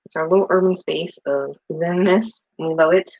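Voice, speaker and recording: A woman's voice: lowish voice, moderate pace, a little mellow, muffled recording. A middle-aged woman from New England chatting casually in her home kitchen while she makes soap, rambling with lots of fillers. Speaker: soap maker and vlogger.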